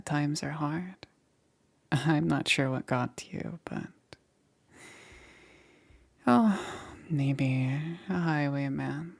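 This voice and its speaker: A woman playing a gentle witch caring for a stranger in a soothing ASMR roleplay, breathy and often dropping into a whisper. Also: low voice, exaggerated voice. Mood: calm, reassuring. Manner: unhurried, soothing, checking in with short murmurs. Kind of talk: soft-spoken ASMR roleplay.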